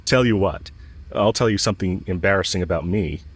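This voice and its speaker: A man performs a smitten villain with a low voice, speaking straight to the listener as in an intimate audio drama. He is cocky and casual, teasing as he goes, and blunt about his affection. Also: unhurried, slightly rough. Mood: fond, flirtatious. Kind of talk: romantic audio roleplay, spoken intimately to the listener.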